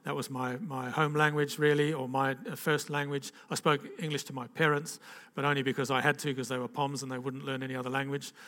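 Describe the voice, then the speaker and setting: A man's voice: mid-range voice, flat delivery, a little fast, a bit bright, in a large hall. An older man gives an informal personal testimony to a gathering. He talks in a rambling, conversational way, with restarts and frequent "okay?" asides. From Zambia and Zimbabwe. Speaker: speaker giving a personal testimony.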